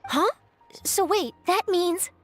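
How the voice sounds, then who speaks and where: A woman's voice: very high-pitched, slow, soft background music. A woman playing an eager young reporter in a video game, attentive, sympathetic and persuasive. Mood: surprised, sympathetic.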